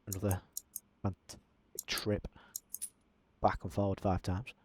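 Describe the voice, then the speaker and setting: A man talking through a simulator game as a channel host, in a self-described monotone with frequent ums. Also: deep voice, a little slow, slightly rough. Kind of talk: casual gameplay commentary.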